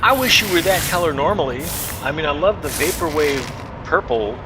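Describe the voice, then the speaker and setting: A man's voice: a little high, animated, a little fast, music in the background, poor audio. A man giving casual, rambling commentary while playing a video game, chatting away to the creatures in it. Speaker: gaming video host. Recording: loud background noise under voice.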